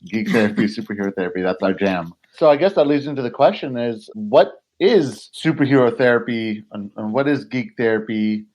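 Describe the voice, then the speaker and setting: A man's voice: medium pitch, fairly quick, few pauses, darkish tone. A man co-hosting a therapy podcast, talking in a friendly, rambling way with laughs. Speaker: podcast co-host, doctoral candidate. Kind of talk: casual podcast chat.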